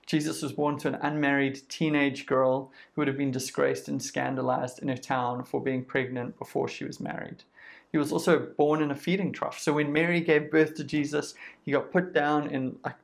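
A man's voice: medium pitch, gravelly. A man with a South African accent, giving a sermon in a conversational way and acting out what people say. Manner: conversational, uses quoted voices and lists. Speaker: preacher.

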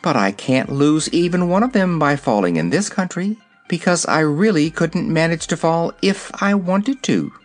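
Guy doing a little girl kitten in a storybook, purry n sure of herself, pitched up. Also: animated, normal speed, hardly any pauses, soft background music, close to an everyday voice. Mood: matter-of-fact. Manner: small-voiced, purring, self-assured. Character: small, cool, carefree female kitten.

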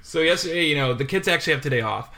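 A man's voice: fairly high pitch, lively intonation, rapid-fire, pushed and bright. A young man in casual podcast chat, telling a scattershot story and laughing often. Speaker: podcast co-host.

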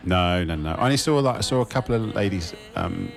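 A man's voice: deep voice, animated, fairly quick, vocal fry, music in the background. A man, co-hosting a radio show in casual banter.

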